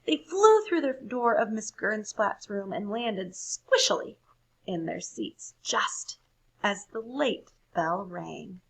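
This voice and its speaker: A woman reading a story aloud for children, expressive and deliberate, pausing to ask listeners questions. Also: mid-range voice, gravelly, breathy edge, low-quality recording. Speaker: storybook reader.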